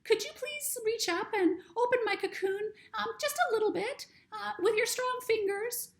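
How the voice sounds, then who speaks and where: A woman voicing a frightened butterfly stuck in its cocoon, speaking in a squeaky, stammering, pleading way. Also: moderate pace.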